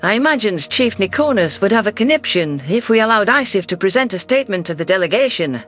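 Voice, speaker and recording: A man reading a science-fiction story in a steady, measured way, his voice sitting fairly high. Faint music plays under the narration. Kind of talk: scripted sci-fi audiobook narration.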